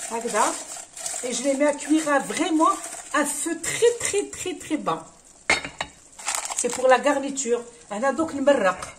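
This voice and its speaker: A woman's voice: high-pitched, animated, normal speed, long pauses, noisy background. A Kabyle-speaking woman hosts a home cooking tutorial, switching between French and Arabic with many hesitant fillers. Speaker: cooking channel host.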